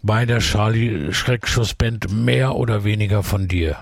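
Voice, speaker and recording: Gravelly radio host, dry studio sound. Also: male voice, on the low side, measured pace, dry recording. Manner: enthusiastic, leading questions. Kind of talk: radio interview.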